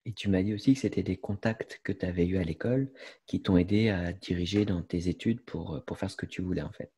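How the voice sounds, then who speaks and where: A man's voice: deep voice, fairly quick, warm tone, husky. A man interviewing a guest, asking long, hesitant, rambling questions. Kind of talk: conversational interview.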